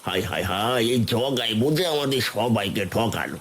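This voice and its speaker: A man's voice: medium pitch, animated, normal speed, fairly bright tone, a little hiss or hum. A man voicing a gloomy, folksy older village uncle who laments, in a cartoon. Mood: concerned, lamenting. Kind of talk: cartoon voice acting.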